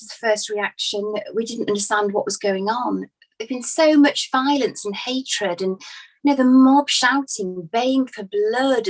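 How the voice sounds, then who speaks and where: A woman's voice: fairly high pitch, natural voice, rough recording. A woman playing a devoted, grieving female follower giving hesitant, conversational testimony in a dramatized inquest. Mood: anguished, fearful.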